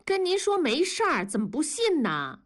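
Role: resentful teenage daughter, shrill and biting, voiced by a woman narrator. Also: wildly swooping pitch, moderate pace. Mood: angry, tearful. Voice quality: shrill, accusing. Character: upset teenage girl lashing out at father.

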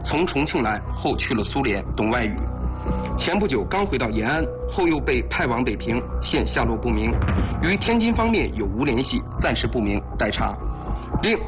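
An actor playing a formal intelligence subordinate who reads a report aloud in a TV drama. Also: male voice, medium pitch, sing-song, normal speed, lightly acted. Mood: formal, flat. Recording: muffled soundtrack, music behind.

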